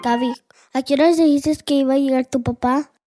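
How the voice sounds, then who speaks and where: A man's voice: steady tone, warm tone, dry recording, clean studio sound, soft background music. A man voicing an impatient, worried mother in a children's puppet-style story. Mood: fretful. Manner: high, worried, drawn-out. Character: fussy mother, adult woman, impatient.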